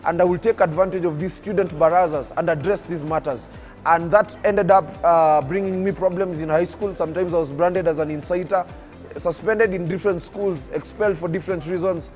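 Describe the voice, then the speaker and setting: Casting: 19-year-old man from Kenya, high voice, preacher-like cadence, dull TV sound with faint music. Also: fairly even intonation, brisk. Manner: oratorical, emphatic, preacher-like cadence. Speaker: young public speaker interviewed on TV. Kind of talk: earnest personal storytelling.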